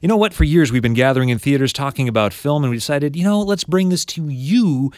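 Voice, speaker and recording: A man hosting a podcast of film critics, chatting in an energetic way with stumbling restarts, recorded in a studio. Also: on the high side, quick pace, dry recording. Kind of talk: casual chat.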